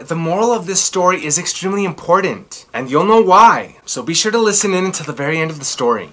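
A man's voice: fairly high pitch, lively intonation, fast talker. A man in his thirties hosting a children's story time, reading aloud in a theatrical, emphatic way. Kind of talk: expressive read-aloud for children. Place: small furnished room at home, indoors.

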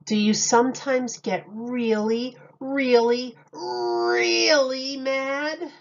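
A woman reads a children's picture book at an unhurried, slow pace. She speaks like a teacher, in simple phrases with questions to little listeners. The recording is slightly dull and lo-fi. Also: sits high, sing-song. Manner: simple, deliberate phrasing addressed to small children. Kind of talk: children's read-aloud with questions to young listeners.

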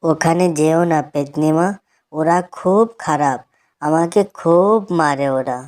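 A woman's voice: low-pitched, slow pace, darkish tone. A woman voicing a pleading, mistreated little village boy in a cartoon. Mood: sorrowful. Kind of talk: Bengali cartoon dialogue.

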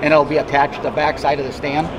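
A man with a slightly high voice walks through a product as a company rep. He is plainspoken and agreeable, explaining casually over the clear bustle of a trade show crowd. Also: level tone, quick pace, rough recording. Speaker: company representative presenting a product. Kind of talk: casual product demonstration interview. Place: busy indoor trade show floor.

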